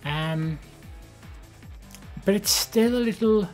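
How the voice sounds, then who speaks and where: A woman with a soft, gentle Welsh voice, pitched in an ordinary middle range, chats loosely with her live-stream viewers. She wanders between thoughts and trips over her own sentences. Faint music plays behind her. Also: slow. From Wales, the Valleys. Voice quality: soft, gentle voice with a lower, less feminine tone. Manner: chatty and rambling, with many ums and self-corrections. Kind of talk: casual live-stream chat.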